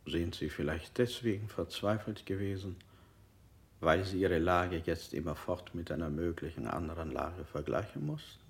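Calm interrogator, low voice, probing questions, 1960s radio play. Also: male voice, normal speed, vocal fry. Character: cold male interrogator, middle-aged.

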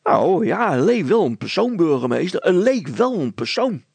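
A man's voice: high voice, big pitch swings, normal speed, vocal fry, a little mellow, breathy edge, no room sound, voice pushed up, exaggerated voice. A man voicing a muddled, stubborn village woman in a children's story, rambling, stumbling and repeating herself emphatically. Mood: muddled, insistent.

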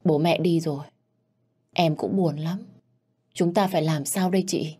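A woman voicing a caring sixteen-year-old sister who speaks gently and earnestly. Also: low-pitched, fairly even intonation, a little slow, breathy edge. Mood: tender.

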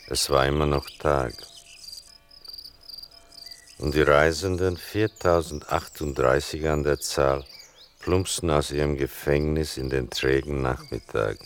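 An actor playing a grave son who narrates his mother's wartime story in a deliberate, restrained radio-play style. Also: extremely low pitch, a little hiss or hum, full character voice. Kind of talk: slow, measured literary narration in a radio play.

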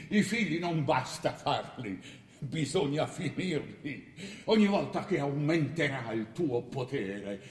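In an echoey hall with long reverb, a high-voiced old ruler lectures as a father would. He is forgetful and ailing, insistent, rambling and given to repeating himself in theatrical radio drama style. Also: animated, slow. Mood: lecturing.

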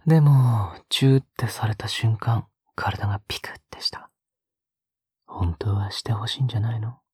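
A man playing a soft-spoken, possessive captor in an audio drama, coaxing in a hushed, breathy whisper. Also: lowish voice, moderate pace, no echo, studio quality. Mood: teasing. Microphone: close, dry studio mic.

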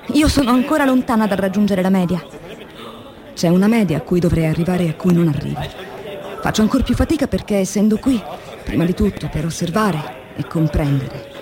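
An actress playing a young, tormented philosophy teacher turned factory worker, in a radio drama monologue with measured, deliberate phrasing and dramatic pauses. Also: female voice, medium pitch, close to an everyday voice. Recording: clearly noisy recording with ambient sound.

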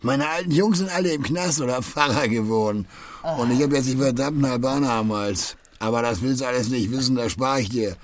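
An actor playing a gruff, menacing pimp who talks in condescending, falsely fatherly slang in a German audio drama. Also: medium pitch, brisk, natural voice.

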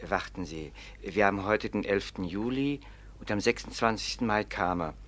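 A man's voice: on the low side, moderate pace, close to an everyday voice. An actor playing a friendly, talkative male prison warden addressing a professor in a radio play. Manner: warm, deferential, chatty.

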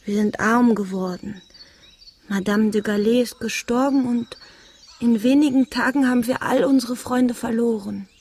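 A young gentlewoman of a ruined family, wistful and dignified. Her voice sits on the high side and has a soft, husky breath to it. She speaks earnestly and with measured care, in the manner of radio drama. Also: fairly even intonation. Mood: wistful, resigned. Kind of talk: German radio drama acting.

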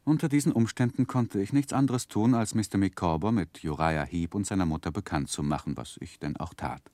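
An actor playing a reflective, wry gentleman who narrates his own youth in a literary radio play in German. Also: low voice, fairly quick, dry recording, lightly acted. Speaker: first-person narrator.

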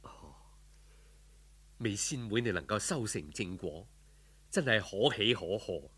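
A male storyteller performs a yearning young scholar from an audiobook folk tale, with a Cantonese accent. He speaks low and slow, sighing politely and plaintively, with a slightly husky edge. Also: lively intonation, fairly bright tone, heavily acted. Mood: wistful, resigned.

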